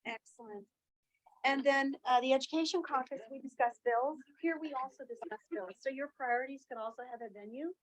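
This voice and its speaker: A woman chairing a meeting in a chatty, welcoming way, with many fillers. Her voice sits a little high. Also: a little fast, poor audio.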